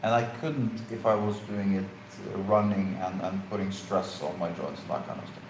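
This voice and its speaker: A man answering viewer questions in a relaxed, halting way, pausing and restarting with fillers as he finds his words. His voice rings in an echoey room over a faint hiss. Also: low voice. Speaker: live stream co-host. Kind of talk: casual Q&A chat.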